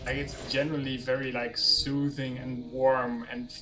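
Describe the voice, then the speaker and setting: A man's voice: medium pitch, little pitch movement, unhurried, distant mic, loud music behind the voice, low-quality recording. A man chatting on voice chat, hesitant and searching for words as he talks. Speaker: guest on voice chat. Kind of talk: casual chat.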